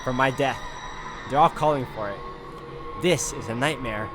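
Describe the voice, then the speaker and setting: Guy doing a visual novel playthrough, reads it out all dramatic, normal pitch, music really loud. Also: normal speed. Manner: dramatic reader, exclaims at the game. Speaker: gaming video host. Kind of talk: reading game text aloud with reactions. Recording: loud game music behind voice. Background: game music.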